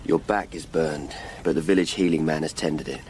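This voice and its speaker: An actor playing a grim, devout Tudor coroner in a period radio drama. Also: male voice, extremely low pitch, normal speed, a little mellow, a little hiss or hum. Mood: urgent, grave.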